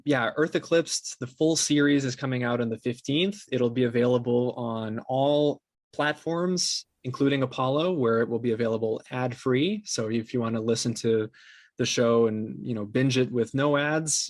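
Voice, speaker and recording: A man's voice: medium pitch, no echo. A man giving earnest, discursive answers as the guest in a conversational interview about his creative work. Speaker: interview guest, podcast creator and company co-founder.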